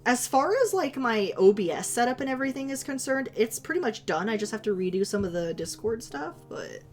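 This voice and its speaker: A woman's voice: on the high side, animated, a little fast, over music. A woman streamer chatting casually with her viewers, rambling and giggling, her voice a little worn as if she is losing it. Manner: giggly, rambling, self-teasing.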